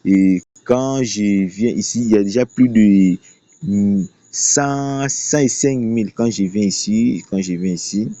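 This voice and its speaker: A man's voice: fairly low pitch, a little slow, warm tone. A man presenting an unscripted screen-share tutorial, explaining emphatically and often repeating his phrases. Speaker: YouTube tutorial presenter promoting a trading platform. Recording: dull, lo-fi voice recording.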